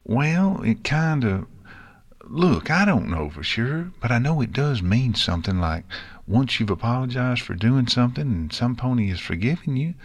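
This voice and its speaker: A man's voice: medium pitch, very animated, normal speed, voice pushed up. A man voicing a confident, kindly young farm pony filly with a folksy, reassuring way of talking. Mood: explaining.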